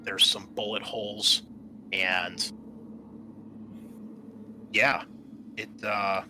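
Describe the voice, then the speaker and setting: A man narrating a tabletop horror game as game master, pausing often as he describes the scene. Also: fairly low pitch, level tone, music in the background.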